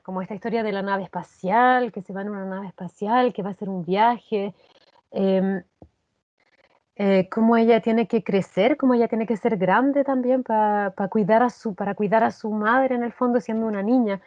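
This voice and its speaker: A woman's voice: mid-range voice, fairly even intonation, moderate pace, dry recording. Chilean woman, dry and slightly dull sound. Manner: reflective, repeats phrases as she thinks. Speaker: interview guest, actress. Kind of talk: conversational interview.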